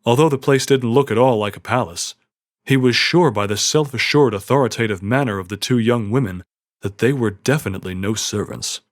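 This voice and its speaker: A man's voice: medium pitch, brisk. A man reading fiction as an audiobook narrator, with a dramatic storytelling delivery. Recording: music under the voice. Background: music.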